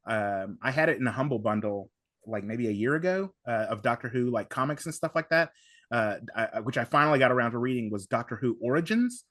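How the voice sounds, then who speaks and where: A man with a mid-pitched voice chats casually as a podcast guest. He speaks effusively, circling back over phrases and leaning on strong superlatives. The sound is close and dry. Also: animated, brisk, fairly bright tone, no echo. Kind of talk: casual podcast chat, recommendations.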